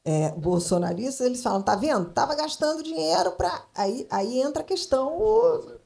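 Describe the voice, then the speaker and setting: A woman's voice: high-pitched, big pitch swings, moderate pace, creaky, mellow. A middle-aged woman from Brasília, Brazil, speaking emphatically as a panelist in a live discussion. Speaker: panelist, advertising professional. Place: stage at a public event.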